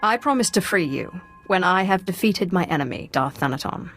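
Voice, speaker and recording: An actress playing a cool, commanding Sith inquisitor in a video game, composed, dry and formal. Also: female voice, lowish voice, animated, brisk, fairly bright tone, soft background music. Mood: solemn.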